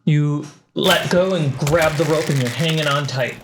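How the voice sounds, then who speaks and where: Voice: medium pitch, normal speed, background noise. A game master narrating a fantasy tabletop game in descriptive second person, with a cinematic score behind. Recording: loud cinematic music behind the voice. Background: cinematic score and sound design.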